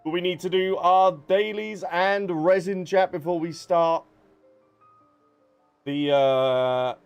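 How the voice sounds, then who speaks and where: A man's voice: sits high, slow pace, clean tone, lo-fi sound. A man livestreaming a game, chatting casually and speaking directly to his viewers over game music. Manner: chatty, addresses viewers by name. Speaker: live streamer.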